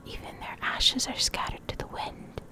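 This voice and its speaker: A woman reading in a soft, breathy whisper for ASMR, gentle and measured, close to the microphone. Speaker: ASMR creator, video host and reader. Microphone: close USB condenser microphone.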